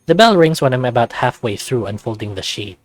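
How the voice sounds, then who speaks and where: A man's voice: medium pitch, animated, a little fast, no echo. A man narrating a visual novel and chatting casually as the host of a read-aloud channel.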